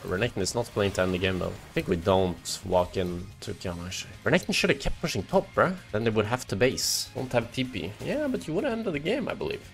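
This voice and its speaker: A young Norwegian streamer talks over his own gameplay, joking with chat and cursing at close fights. His voice is low but swings widely in pitch. Faint music plays under him. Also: very animated, fast talker, creaky, hardly any pauses. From Norway. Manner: joking, swears and shouts at game moments. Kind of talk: live gameplay commentary and chat banter.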